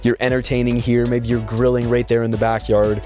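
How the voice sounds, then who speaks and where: A slightly hoarse man speaks in an energetic, salesy rush, his voice sitting in an ordinary middle register. He sounds like a real estate agent hosting outdoors, with faint music running quietly underneath. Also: mid-range voice. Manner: energetic, quick-talking, salesy. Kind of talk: casual behind-the-scenes explanation and upbeat property pitch. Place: outdoors at a house.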